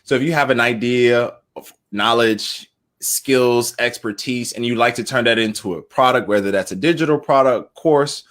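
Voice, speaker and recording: A man's voice: mid-range voice, fairly bright tone. Earnest American man in his thirties. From United States. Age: 30s. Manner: earnest, halting with repeated words. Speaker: interview guest, entrepreneur. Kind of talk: casual personal storytelling.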